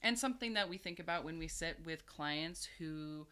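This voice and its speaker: A woman's voice: sits low, normal speed, sharp tone, clear, resonant tone. A woman in her thirties, a therapist co-hosting a podcast, who explains things at length and laughs often in casual chat. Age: 30s.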